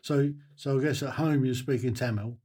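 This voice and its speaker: A man interviewing casually, asking questions in a halting, hesitant way that tends to trail off. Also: mid-range voice, dark tone.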